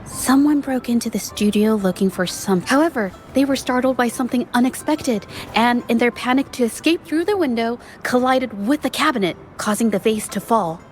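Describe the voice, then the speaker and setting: A woman voicing a gentle, thoughtful young female painter in game dialogue, speaking softly and carefully. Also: a little high, normal speed, hardly any pauses, faint music behind, close to an everyday voice. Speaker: game character.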